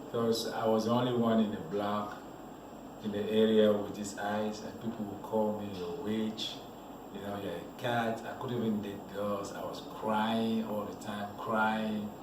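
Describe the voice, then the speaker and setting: A man from Cameroon, a model telling his life story in a relaxed, rambling way as an interview guest. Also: lowish voice, measured pace. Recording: echoey room, voice from a distance, noisy background.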